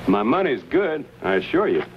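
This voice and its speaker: Man acting a bold frontier fur buyer, lively pitch. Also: moderate pace, lightly acted. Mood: friendly bargaining. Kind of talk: 1950s Western screen acting. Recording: dull, hissy vintage film soundtrack.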